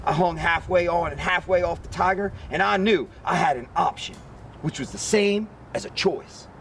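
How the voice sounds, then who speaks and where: A man reading a children's picture book aloud as an expressive narrator. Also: sits high, moderate pace, creaky, slight background noise. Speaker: story reader.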